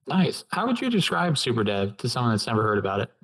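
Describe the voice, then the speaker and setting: Casual male interview host, medium pitch. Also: wildly swooping pitch, quick pace, fairly bright tone, breathy edge, rough recording. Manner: informal, brief prompts.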